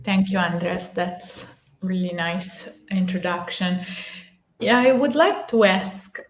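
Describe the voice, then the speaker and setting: A woman hosting an interview, speaking in a measured way with ums between phrases. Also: lowish voice, echoey room, a little hiss or hum. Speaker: host. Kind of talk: hosting a live audio interview.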